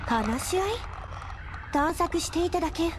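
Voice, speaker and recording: A woman's voice: very high-pitched, expressive, brisk, noisy background. A woman voicing a cool, resolute female game protagonist in a Japanese anime style, with terse delivery. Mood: guarded.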